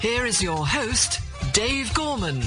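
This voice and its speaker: A man's voice: very high voice, big pitch swings, slow pace. A man reading scripted radio show announcements in a theatrical style. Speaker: continuity announcer. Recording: over loud music and audience. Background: music and crowd.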